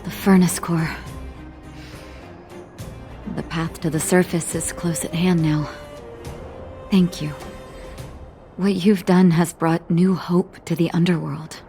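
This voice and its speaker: Game resistance leader: lowish female voice, calm, gracious, assured, faint music. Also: slow pace, long pauses.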